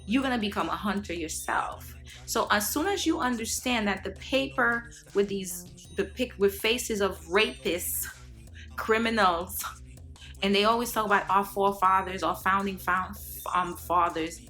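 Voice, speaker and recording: A woman's voice: mid-range voice, measured pace, pushed and bright, faint music behind. A woman from Haiti talking earnestly to camera, lecturing her viewers with many fillers and restarts. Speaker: vlogger. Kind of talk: casual monologue to camera.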